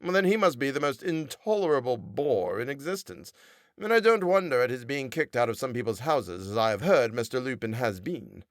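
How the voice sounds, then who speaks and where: A man's voice: medium pitch, big pitch swings, fairly quick, no room sound, clean studio sound, close to an everyday voice. A man doing a grumbling, exasperated old husband. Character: irritable older shopkeeper husband. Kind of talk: audiobook character voice.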